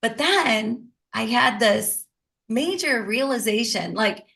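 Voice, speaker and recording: A woman's voice: fairly high pitch, lively intonation, slow, gravelly, fairly bright tone. A woman in her sixties hosting a podcast interview, who affirms her guest and shares her own experience in a conversational way. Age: 60s. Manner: affirming and empathetic, with a personal story. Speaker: podcast host.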